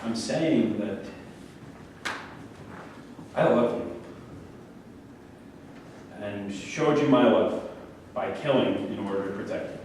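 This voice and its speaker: An actor in a staged reading playing a deluded, self-righteous killer who talks in a grandiose, condescending, pleading way. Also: male voice, fairly low pitch, slow, pauses often, darkish tone. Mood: soft, obsessive. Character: deluded, obsessive convicted male killer, preachy and self-righteous. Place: echoey room at a staged reading, far mic. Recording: very echoey, distant, faint room noise.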